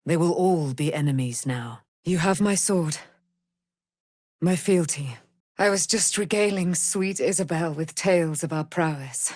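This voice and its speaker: A woman's voice: low voice, unhurried, a little mellow, dry recording, studio quality. A woman playing a proud immortal warrior, daughter of a moon goddess, in theatrical fantasy game style with grandiose, archaic diction. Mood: proud, vigilant.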